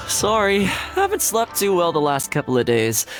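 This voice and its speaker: A man's voice: high voice, huge pitch swings, takes it slowly, soft background music, full character voice. A man playing a friendly, easygoing young male housekeeper in a video game, casual, courteous and modest in manner. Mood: apologetic, polite. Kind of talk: English video game voice acting.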